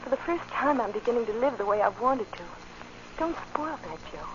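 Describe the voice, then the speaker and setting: An actress playing an emotional young wife who pleads for a better life, in old-time radio drama style. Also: female voice, on the high side, expressive, fairly quick, lightly acted. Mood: upset pleading. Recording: muffled, hissy old radio recording.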